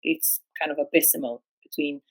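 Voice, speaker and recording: Halting, thoughtful woman with filler-heavy speech. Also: low-pitched, fairly even intonation, slightly rough, low-quality recording. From former Yugoslavia. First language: Serbo-Croatian. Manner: hesitant, many fillers and restarts. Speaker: guest philosopher. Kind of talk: academic podcast discussion.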